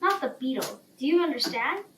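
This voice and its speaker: A worried, secretive storybook elephant played by a woman, blustering and easily flustered. The voice is high and very expressive, heard a little far off in a roomy space. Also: fairly quick. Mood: insistent. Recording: roomy, distant, faint background noise.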